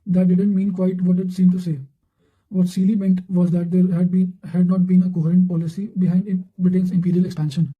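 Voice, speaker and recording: A man reading study quotations aloud in a halting way, often stumbling and repeating words. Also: high voice, little pitch movement, muffled recording. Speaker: narrator reading revision notes.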